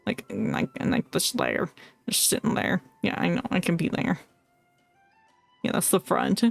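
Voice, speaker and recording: A streamer chatting casually and playfully through live gameplay, excitable and often muttering to themselves. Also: medium pitch, unhurried, gravelly. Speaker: game streamer. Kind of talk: casual live gaming commentary. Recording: music behind the voice.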